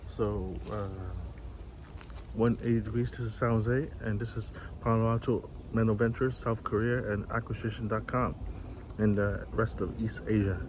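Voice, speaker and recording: Clear outdoor noise surrounds a man from Vietnam with a fairly low voice. He talks off the cuff, as if to a camera, in a halting flow. Phrases often break off and get repeated as he explains things. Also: little pitch movement. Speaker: vlogger and software developer. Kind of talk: informal unscripted monologue to camera. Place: outdoors, city view behind.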